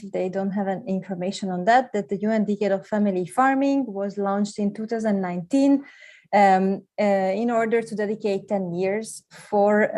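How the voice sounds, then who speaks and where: A woman with a soft-edged, mellow voice at a medium pitch explains things on a panel. She speaks off the cuff, halting and correcting her wording as she builds each sentence. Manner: halting, self-correcting, tags phrases with "no". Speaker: UN secretariat officer, panelist. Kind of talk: unscripted explanatory panel talk.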